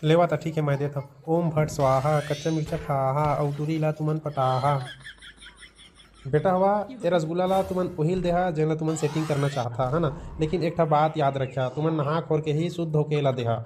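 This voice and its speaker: A man's voice: a little high, steady tone, moderate pace, a little mellow, lightly acted. A man voicing a boastful older village shaman in a comic cartoon, chanting mock-solemn spells in a Chhattisgarhi accent. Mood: helpful.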